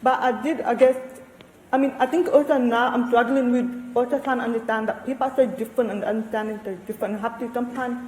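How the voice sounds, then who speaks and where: A woman with a slightly high voice answers on a panel, thoughtful and halting, often restarting her sentences. Her voice carries a long reverb from the hall. She speaks into a handheld microphone. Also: steady tone, a little mellow. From Australia. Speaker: researcher and panel guest.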